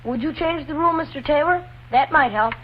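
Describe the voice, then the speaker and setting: A woman's voice: high-pitched, brisk, lightly acted. A woman playing an earnest, polite young school hall guard in a 1950s instructional film. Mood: earnest, troubled. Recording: dull, noisy old 1950s film soundtrack.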